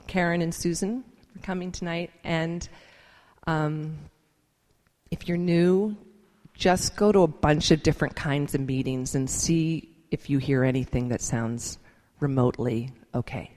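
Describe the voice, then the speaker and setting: A woman's voice: low-pitched, a little slow, darkish tone. A woman giving an earnest, informal personal testimony to a gathering, thinking aloud as she speaks in a large reverberant room. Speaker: speaker at a recovery meeting. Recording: reverberant hall.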